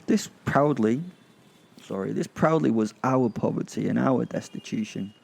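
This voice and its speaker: A man narrating a horror audio drama in the first person, in character, with sardonic, halting delivery and dramatic pauses. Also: medium pitch, gravelly, a little mellow, husky.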